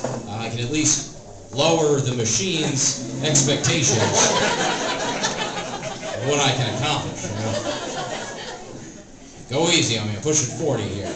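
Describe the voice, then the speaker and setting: A man of thirty doing a stand-up comedy set on a club stage, with a dry, hesitant delivery full of "uh" and "like". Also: mid-range voice. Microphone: stage microphone. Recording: roomy club sound from a distance, audience noise. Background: audience laughter.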